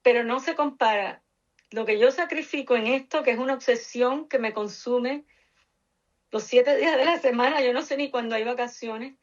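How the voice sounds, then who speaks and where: A woman's voice: fairly high pitch, normal speed. Older Cuban-born woman with a Puerto Rican lilt, earnest interview guest, muffled lo-fi audio. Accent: Puerto Rican Spanish. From Cuba. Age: 60s. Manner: passionate, earnest, emphatic.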